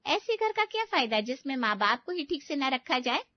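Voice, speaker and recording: A woman's voice: sits high, sing-song, moderate pace, highs cut off. A woman playing an outspoken older family friend in a story, frank and moralizing. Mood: reproachful.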